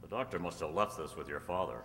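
A man's voice: low-pitched, fast, fairly bright tone, slight background noise. A man playing a kindly, commanding masked cowboy hero in an old-time radio western, on a hall stage. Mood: calm, kindly. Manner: declarative, reassuring, commanding. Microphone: stand microphone. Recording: echoey hall stage recording.

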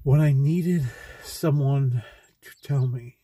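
Young man, husky voice, mellow tone, slow and halting, heartfelt confession in a parked car, phone held close. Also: medium pitch, dry recording. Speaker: vlogger. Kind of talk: emotional personal vlog. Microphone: phone camera close in front.

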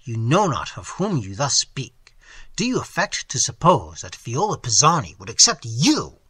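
Reader doing a touchy young painter guy, peevish and clipped, husky bright voice. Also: mid-range voice, wildly swooping pitch, moderate pace, dry recording, full character voice. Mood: indignant. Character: proud young English painter, touchy and hot-tempered.

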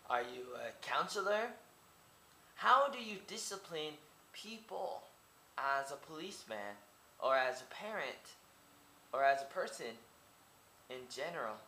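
A man's voice: a little high, sing-song, measured pace, pauses often, sharp tone, echoey room, a little hiss or hum. A young man from Oregon rambling to his webcam in a small room, firing off rhetorical questions and repeating himself. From Oregon, United States. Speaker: vlogger. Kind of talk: casual monologue to camera. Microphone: webcam at close range.

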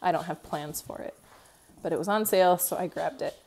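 A woman's voice: lowish voice, a little fast, gravelly, a little mellow. A woman in her thirties chatting casually through a home cooking vlog voice-over, with light laughs. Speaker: family vlogger.

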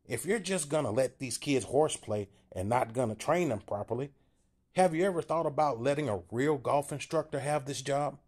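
A man voicing a short, timid old man who is angry and scolding, pushing his voice up high and strained. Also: normal speed, darkish tone, lightly acted.

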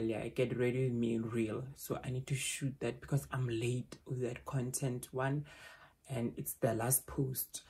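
A young South African woman with a loud voice, chatting casually to a phone camera at home as a vlogger, rambling with frequent restarts. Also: extremely low pitch.

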